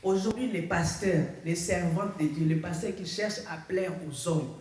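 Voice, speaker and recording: A woman's voice: lowish voice, moderate pace, darkish tone, slight background noise. A woman pastor preaching a sermon and calling out "Alléluia" for her congregation to answer. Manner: preaching with frequent calls of "Alléluia" for congregational response. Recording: very echoey, mic far off. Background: congregation answering "Amen".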